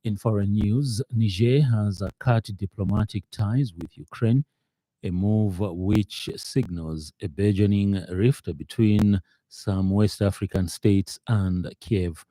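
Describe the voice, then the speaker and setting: Male radio newsreader, Zambian accent, deep and very dark tone, dry studio sound. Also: no echo. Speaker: radio news presenter. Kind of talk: scripted news bulletin.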